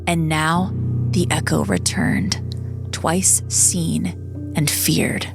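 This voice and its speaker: A woman reading scripted audiobook narration in a solemn, measured way. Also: deep voice, fairly even intonation, creaky, over music.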